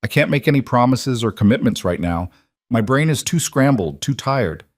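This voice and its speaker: A man's voice: mid-range voice, expressive, moderate pace, no echo, studio quality, natural voice. A man voicing a proud, weary pregnant woman, an estranged wife with a firm, guarded manner, in an audiobook.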